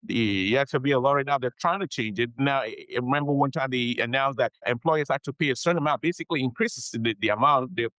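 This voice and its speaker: A male attorney explains immigration matters on a podcast, speaking at a middling pitch. He repeats his main points and checks in with "okay?" as he goes. The sound is close and dry, with no room echo. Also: quick pace, hardly any pauses, a bit bright. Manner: explanatory, repeats key advice, frequent "okay?" check-ins. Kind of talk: conversational podcast interview.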